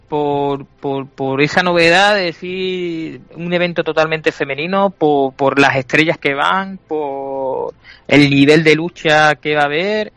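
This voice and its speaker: Bright, enthusiastic Spanish-accented male co-host. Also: on the high side, no echo. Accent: Spanish from Spain. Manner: enthusiastic, emphatic repetitions. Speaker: podcast co-host. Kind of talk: casual chat. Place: remote, on a phone line.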